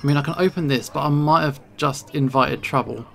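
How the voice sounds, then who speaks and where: A gamer giving jittery, nervous let's play commentary, thinking out loud while playing. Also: medium pitch, fairly quick, vocal fry, a little hiss or hum. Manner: nervous, jittery self-talk. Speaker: video game streamer.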